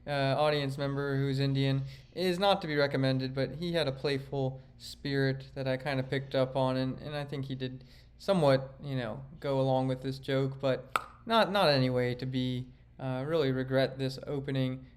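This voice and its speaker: A man's voice: mid-range voice, fairly even intonation, normal speed. A man, a comedian, talks through his own stand-up set in a reflective, self-critical way, rambling with frequent restarts. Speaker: comedian and vlogger.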